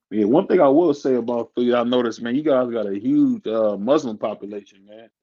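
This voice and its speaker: A man's voice: medium pitch, moderate pace. A man hosting a casual live stream, laughing often and asking short questions. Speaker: stream host. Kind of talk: casual chat. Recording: muffled lo-fi audio.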